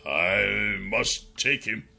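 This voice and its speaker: A man's voice: fairly low pitch, animated, vocal fry, pushed and bright, heavily acted. A man voicing a cursed elven king turned savage beast in a fantasy audiobook, throaty, grave and pained, forcing out ominous words. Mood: pained, strained. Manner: halting, forced, ominous words.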